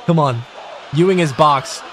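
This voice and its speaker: A man giving casual, excited gameplay commentary in a slangy, exclamatory way. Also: a little high, takes it slowly. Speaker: gaming video host, player.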